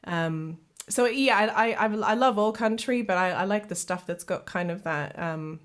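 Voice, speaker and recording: A woman's voice: mid-range voice, normal speed. A woman from Canada who has lived in the UK for years, a singer-songwriter guest chatting casually, often laughing and repeating words as she starts a thought. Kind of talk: casual interview chat.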